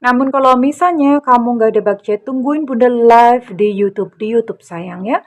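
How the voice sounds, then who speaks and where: A woman's voice: a little high, normal speed. A middle-aged woman doing a tarot reading for her viewers, speaking affectionately and calling herself Bunda. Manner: affectionate, calls listener darling, refers to herself as Bunda. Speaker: tarot reader. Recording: loud electronic music behind.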